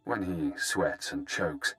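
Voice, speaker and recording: A man voicing a ruthless, morbidly curious intelligence watcher in a video game, speaking in a clipped, measured, clinical way. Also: rumbling low, sharp tone, smooth, faint music behind, full character voice. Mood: calculating, intent.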